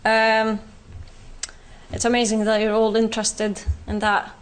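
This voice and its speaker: A young woman with a Palestinian British accent, giving an earnest talk on her own experience, often pausing with fillers. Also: a little high, completely flat delivery. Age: young adult. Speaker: guest speaker. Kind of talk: university talk from personal experience.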